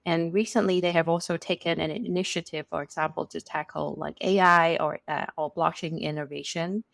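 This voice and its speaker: A woman's voice: low voice, steady tone, fairly quick, creaky, a bit bright, no echo, studio quality. A middle-aged woman from Hong Kong who is a venture capital partner, answering interview questions in a chatty way with many "you know"s.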